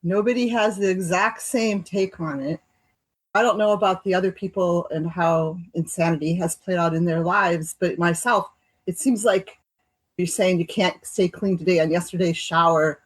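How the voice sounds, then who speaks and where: Husky lady talking slow and thoughtful on a recovery podcast. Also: fairly low pitch, fairly even intonation, dry recording, low-quality recording. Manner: thoughtful, reads passages aloud, slow pauses. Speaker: podcast co-host. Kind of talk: reflective recovery group talk.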